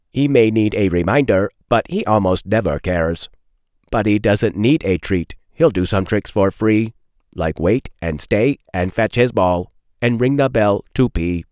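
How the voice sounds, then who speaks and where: A man in his thirties reading a children's storybook aloud with playful, expressive emphasis. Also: on the low side, smooth, dry recording, clean studio sound. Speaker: read-aloud host.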